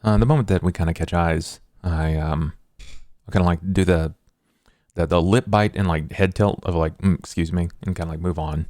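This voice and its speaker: A man's voice: deep voice, fairly even intonation, moderate pace, darkish tone, dry recording. A man playing a tabletop role-playing game, talking in a laid-back, hesitant way. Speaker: player.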